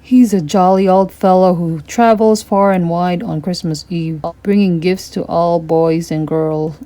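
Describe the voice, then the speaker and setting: A woman voicing a kind, reassuring young man in a children's storybook, explaining things gently and patiently with some amusement. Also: on the low side, fairly even intonation, measured pace, natural voice. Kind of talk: children's storybook character reading.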